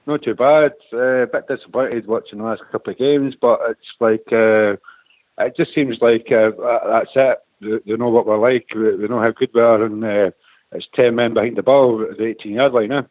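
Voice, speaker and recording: A man's voice: medium pitch, fairly even intonation, moderate pace, dry recording. Edinburgh man, about forty, phone-in caller; hesitant, rambling, tinny dry phone line. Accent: Scottish (Edinburgh). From Scotland, Edinburgh. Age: 40s. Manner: rambling, hesitant, many restarts and "eh". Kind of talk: phone-in call to a fan radio show.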